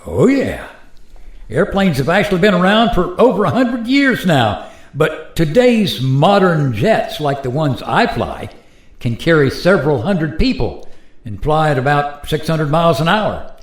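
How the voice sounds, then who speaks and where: A man's voice: a little high, big pitch swings, a little slow. A man playing an easygoing modern airline pilot in a radio play, talking in a casual, explanatory way. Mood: amused, proud. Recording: roomy, echoing sound.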